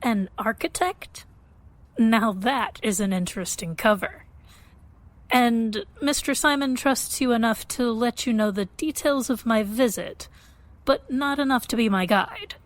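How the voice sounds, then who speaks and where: Imperious lady boss, higher voice, formal, dry close studio sound, radio play. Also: expressive, a little slow, no echo. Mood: cool, skeptical. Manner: formal, crisp, commanding. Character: imperious, self-assured high-born woman, cool and commanding. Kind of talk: audio drama acting.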